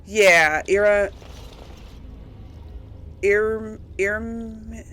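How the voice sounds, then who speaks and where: A woman's voice: mid-range voice, fairly even intonation, extremely slow, fairly bright tone. A woman giving casual, chatty let's play commentary, thinking aloud and asking herself questions as she goes. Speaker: video game streamer and series host.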